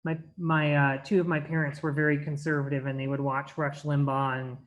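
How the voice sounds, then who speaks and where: A woman's voice: low-pitched, little pitch movement, brisk. A woman giving an earnest, explanatory talk at an online conference, speaking over a video call with frequent restarts. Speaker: workshop presenter.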